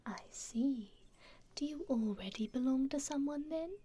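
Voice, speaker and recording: A woman playing a kind, teasing stranger in an intimate ASMR roleplay, speaking gently and unhurriedly in a breathy, whispery voice. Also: high voice, expressive, a little slow. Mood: wistful, curious. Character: kind, teasing female stranger caring for a festival-goer.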